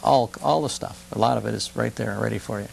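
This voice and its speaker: A man's voice: lowish voice, fast, vocal fry, slightly rough. A man talking conversationally as a guest expert on radio history, enthusiastic and emphatic, repeating phrases for effect.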